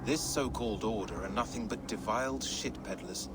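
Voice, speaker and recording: An actor playing a gruff, sardonic, foul-mouthed Saxon reeve with a wry manner in a video game cutscene. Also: male voice, fairly low pitch, normal speed, over music, lightly acted. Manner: wry, cursing, colourful turns of phrase. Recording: clear ambient noise behind game dialogue.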